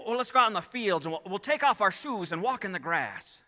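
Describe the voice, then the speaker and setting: A man's voice: high-pitched, animated, fairly quick, background noise. An actor playing a restless, earnest young man in an old-time radio play, stammering and exclaiming, taped live on stage. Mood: restless, romantic. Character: restless, earnest young man dreaming of leaving his small town. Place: theatre stage. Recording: live stage taping in echoey hall.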